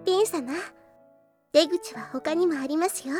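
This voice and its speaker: Very high-pitched young anime girl, gentle, devoted, demure, formal polite phrasing, audio drama. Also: a little slow, soft background music. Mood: calm, polite. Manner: very polite, hesitant, apologetic speech.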